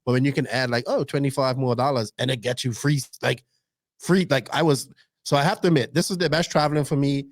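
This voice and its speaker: A man hosting a casual podcast, animated and teasing, rambling through his stories. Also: medium pitch, fairly quick, no echo. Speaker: podcast host. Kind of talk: casual chat.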